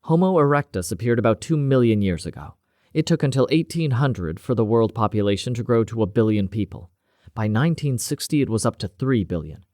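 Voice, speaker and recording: A man's voice: mid-range voice, expressive, a little fast, dark tone, dry recording, clean studio sound, close to an everyday voice. A man playing a stocky, bullying middle-aged woman scientist, indignant and lecturing.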